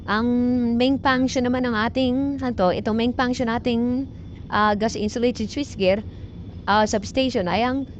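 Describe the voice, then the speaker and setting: A woman with a Filipino accent gives an informal technical tutorial as a vlogger, explaining in a halting, repetitive way with English technical terms mixed in. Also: mid-range voice, darkish tone. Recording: muffled lo-fi audio with background noise.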